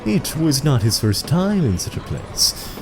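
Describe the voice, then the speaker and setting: A man narrating a scripted fantasy audio drama in a measured, dramatic storytelling manner. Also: a little high, big pitch swings, noisy background. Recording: background music under the voice. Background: music.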